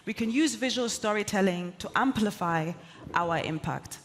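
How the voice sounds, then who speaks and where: A woman whose first language is German delivers a motivational keynote in a steady, mid-range voice. She sounds earnest and emphatic, stacking her points into repeated lists. A faint hiss sits under her voice. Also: mid-range voice, normal speed. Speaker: keynote speaker. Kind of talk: motivational conference talk.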